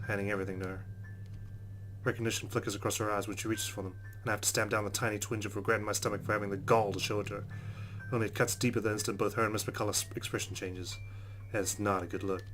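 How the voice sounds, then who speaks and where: A man with a fairly low voice narrates a visual novel as a let's play host. He reads inner monologue expressively and dramatically, over faint background music. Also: fairly bright tone. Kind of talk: expressive read-aloud game narration with reactions.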